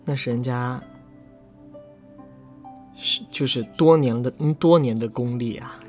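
A woman's voice: rumbling low, unhurried, a little mellow. A woman co-host chatting casually about an audio drama, putting in short, admiring agreements. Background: audio drama with faint music.

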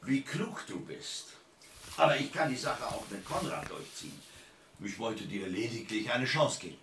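A man acting a powerful, manipulative middle-aged businessman in a German radio drama, measured, insinuating and condescending. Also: medium pitch, expressive, a bit bright, slight background noise. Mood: coolly persuasive.

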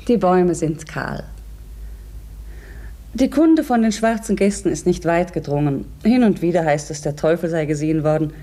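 An actress playing a reflective schoolteacher in a German radio drama, speaking in a measured, earnest, wondering way. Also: female voice, fairly low pitch, warm tone. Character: reflective female schoolteacher recounting a mysterious encounter. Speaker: narrator and lead actress.

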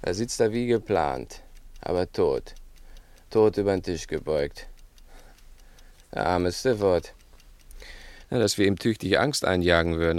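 An actor playing a brooding, taunting, talkative crook in a 1960s German radio play, musing theatrically and condescendingly. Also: male voice, low-pitched, a little mellow, dry recording.

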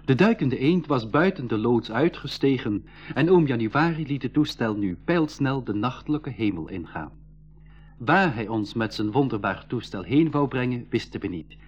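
A man's voice: mid-range voice, animated, husky. A man playing a sensible, earnest boy who tells his adventure in a 1980s school radio play. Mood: earnest narrating. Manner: measured, earnest storytelling. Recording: dull, band-limited old radio recording.

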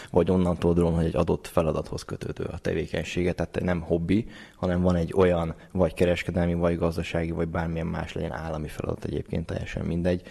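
A man's voice: sits low, vocal fry, mellow. A man explaining as an interviewed expert, in wordy sentences full of asides. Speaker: interviewed board member.

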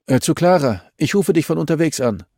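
Guy reading as a determined woman detective, insistent, questioning, pitched up from his usual, dry audiobook sound. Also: lively intonation, brisk, dark tone, dry recording. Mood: evasive.

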